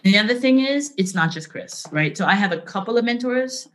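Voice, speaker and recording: A middle-aged woman speaking as an interview guest, telling candid work stories in a lively, conversational way, often asking "right?". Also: medium pitch, fairly quick. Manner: animated, frequent "right?" and "like", laughs easily. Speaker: interview guest, business leader.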